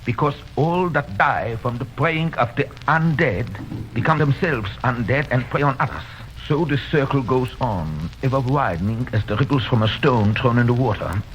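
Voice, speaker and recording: Guy doing grave old-radio vampire hunter doc, halting and deliberate, dull faintly hissy recording. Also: mid-range voice, expressive, a bit bright, lightly acted. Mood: solemn. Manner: solemn, deliberate, halting foreign phrasing. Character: grave learned foreign vampire hunter doctor, solemn and commanding. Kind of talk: 1930s radio drama acting.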